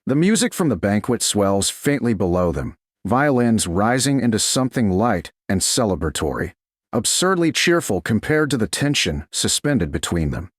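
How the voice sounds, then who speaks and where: A man narrating scripted romance fiction slowly and dramatically, in measured, deliberate phrases. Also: fairly low pitch, sing-song, dry recording, studio quality.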